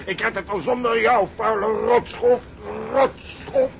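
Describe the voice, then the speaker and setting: Desperate, cursing sea captain. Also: male voice, high voice, steady tone, a little slow, gravelly, noisy background. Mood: furious. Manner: cursing, pleading, overwrought. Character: desperate, foul-mouthed salvage captain near breakdown. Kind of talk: Dutch radio drama acting.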